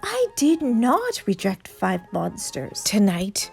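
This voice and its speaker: A woman's voice: medium pitch, huge pitch swings, slow pace, gravelly, a little mellow, husky, faint music behind, exaggerated voice. A woman voicing a picky, giggly child in a children's storybook, speaking in a questioning, insistent way. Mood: indignant. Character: picky, giggly child daring monsters to scare them.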